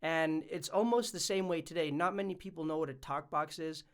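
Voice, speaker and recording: A man's voice: on the high side, brisk. A man presenting a casual explainer vlog as a music production YouTuber.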